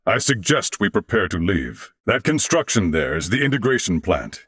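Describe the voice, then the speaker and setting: A man voicing a courteous older alien warrior-scholar in a video game, speaking formally and eloquently with measured diction. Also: low voice, sing-song, a bit bright, no room sound, clean studio sound, exaggerated voice. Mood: composed, commanding. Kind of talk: video game character voice lines.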